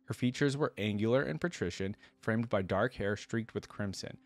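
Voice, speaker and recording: Low-voiced man reading and reacting. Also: normal speed, no room sound. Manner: enthusiastic, informal asides. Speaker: reaction video host. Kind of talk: reading a fantasy story aloud with casual fan commentary.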